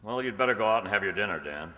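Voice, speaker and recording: Small-town lawman male performer: low voice, matter-of-fact, no nonsense, radio drama with hall echo. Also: animated, racing, pushed and bright. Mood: brisk. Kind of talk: amateur radio mystery play acting. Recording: reverberant hall sound.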